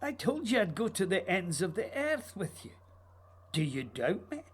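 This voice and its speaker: An actor playing an earnest, restless writer, needy and intense, in a theatrical, pleading radio drama style. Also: male voice, high-pitched, very animated, normal speed, slightly rough, full character voice. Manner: theatrical, pleading, literary phrasing. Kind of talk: radio play acting.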